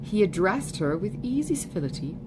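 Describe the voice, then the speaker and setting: A woman's voice: mid-range voice, big pitch swings, fairly quick. A woman reading literary fiction aloud as scripted audiobook narration. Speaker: audiobook reader. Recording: clearly noisy recording, background ambience.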